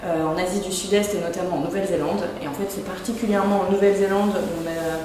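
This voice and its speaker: A woman's voice: on the low side, fairly even intonation, fast talker, darkish tone, a little hiss or hum. A woman from Lyon who co-founded a microbrewery, answering interview questions in a casual, hesitant way with many fillers. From Lyon, France. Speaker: brewery co-founder. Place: microbrewery shop. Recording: echoey room, mic at a distance.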